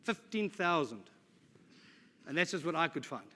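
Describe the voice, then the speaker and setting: Bright-voiced man in a hall. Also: fairly high pitch, big pitch swings, moderate pace, a little hiss or hum. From South Africa. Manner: jokey, informal, with self-deprecating asides. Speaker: conference speaker. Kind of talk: conference presentation. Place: conference hall. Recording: live talk in a reverberant hall.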